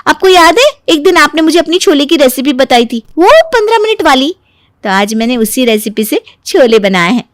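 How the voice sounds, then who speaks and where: A woman voicing a sly, playful young daughter-in-law in a cartoon story, sugary and expressive while feigning innocence. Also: sits high, moderate pace, no echo, clean studio sound. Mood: mock innocent. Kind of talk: animated Hindi moral story voice acting.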